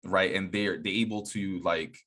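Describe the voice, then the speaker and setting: A man's voice: sits low, fairly even intonation, normal speed, a bit bright. A man hosting a conversational interview, reflecting on answers and asking questions. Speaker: interview host.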